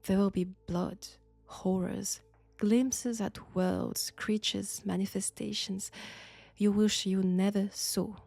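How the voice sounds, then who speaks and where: A woman with a French accent playing a sinister, flirtatious archaeology professor possessed by an ancient god, deliberate and teasing in an intimate audio roleplay. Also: medium pitch, fairly even intonation, vocal fry, warm tone, no room sound, faint music behind. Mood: grave, protective. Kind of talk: slow, intimate roleplay acting.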